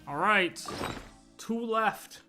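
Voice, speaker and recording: High-pitched man streaming a game. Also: expressive, very slow. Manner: joking, echoes game lines aloud. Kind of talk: casual live reaction commentary. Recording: game music behind voice.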